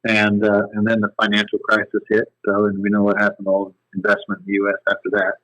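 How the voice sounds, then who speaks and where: Man, phone guest, lowish voice, dry line, relaxed rambling delivery. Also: fast talker, no room sound, muffled recording. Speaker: company founder, phone guest. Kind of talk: casual storytelling interview.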